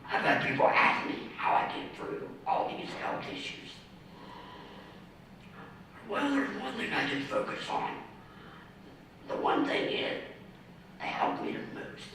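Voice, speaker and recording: A man in his thirties from Ohio with a raspy, mostly whispered voice from vocal cord damage, reading a personal speech slowly and methodically with restarts. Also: medium pitch, wildly swooping pitch, fairly bright tone. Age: 30s. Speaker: award speaker, ordained minister. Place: community center hall. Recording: echoey hall from far off, faint noise.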